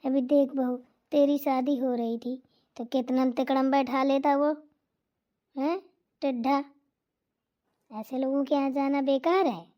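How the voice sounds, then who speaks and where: A woman's voice: high voice, fairly even intonation, warm tone. A woman voicing a fussy, scolding older mother-in-law in a cartoon. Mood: scornful. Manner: scolding, complaining, drawn-out. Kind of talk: cartoon voice acting.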